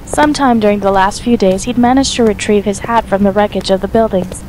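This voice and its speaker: A woman's voice: medium pitch, fairly even intonation, fairly quick, darkish tone, slight background noise, poor audio. A woman reading scripted story narration as the narrator of an audio drama.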